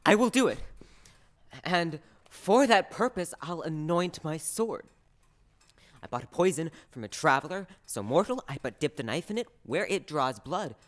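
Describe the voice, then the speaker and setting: A man's voice: a little high, expressive, normal speed, lightly acted. A male actor playing a hot-tempered young nobleman in a Shakespearean audio drama, with intense theatrical delivery. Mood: vengeful resolve. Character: vengeful grieving young nobleman, hot-tempered son and brother.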